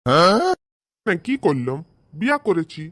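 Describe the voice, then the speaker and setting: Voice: mid-range voice, sing-song, a little slow, fairly bright tone. A performer voicing a stubborn old father in a comedy cartoon, retorting defiantly. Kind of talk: exaggerated comic cartoon dialogue.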